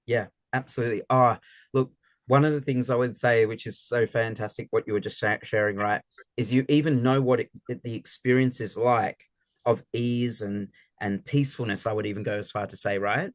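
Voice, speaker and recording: A man's voice: medium pitch, fairly even intonation, fairly quick, dry recording. Encouraging male workshop facilitator, dry sound. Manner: encouraging, affirming, restarts words often. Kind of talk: interactive group session.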